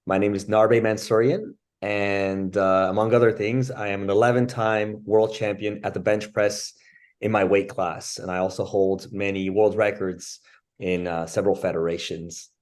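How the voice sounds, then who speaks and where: Soft-spoken man, steady and reflective. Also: lowish voice. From France. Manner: thoughtful, many pauses and fillers. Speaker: interview guest, bench press champion. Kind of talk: casual interview talk.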